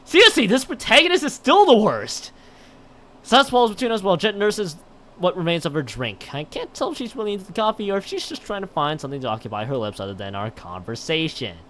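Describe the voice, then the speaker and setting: A man's voice: high-pitched, very animated, fast talker, fairly bright tone, a little hiss or hum. A man on a live game stream, reading a visual novel aloud with stumbles and exasperated asides. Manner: stumbles and repeats words while reading.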